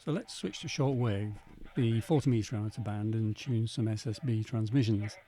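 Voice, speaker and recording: A man narrating an instructional equipment demonstration in a measured, methodical way. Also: lowish voice, mellow. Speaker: presenter demonstrating vintage radio equipment.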